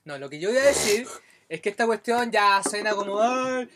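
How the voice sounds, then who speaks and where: A man with a Chilean accent hosting a podcast, bantering fast in heavy slang. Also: sits high, expressive, a bit bright, a little hiss or hum. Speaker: podcast host, comedian. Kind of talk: casual chat.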